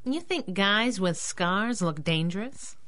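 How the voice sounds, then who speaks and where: An actress playing a brash, wisecracking masked sidekick in a 1930s-style radio drama, sassy and slangy. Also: female voice, mid-range voice, expressive, takes it slowly, a bit bright. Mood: brisk teasing.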